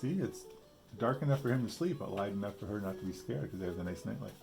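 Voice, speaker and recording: A man's voice: fairly low pitch, animated, fast talker, creaky, over music. A man reading a picture book aloud with casual asides, explaining simply as if to a young child. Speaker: storybook reader.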